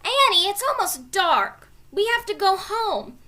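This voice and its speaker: Woman voicing a cautious young boy, high and bright. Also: big pitch swings, unhurried, heavily acted. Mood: worried. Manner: earnest, protesting. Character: cautious, bookish young boy, worried older brother. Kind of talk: children's storybook read-aloud.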